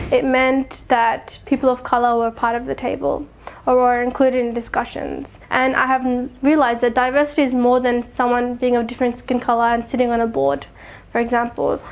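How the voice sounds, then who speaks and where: High-voiced young woman, earnest and reflective. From Afghanistan. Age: young adult. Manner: thoughtful, earnest, with soft laughs and frequent ums. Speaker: interviewee. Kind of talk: reflective personal testimony.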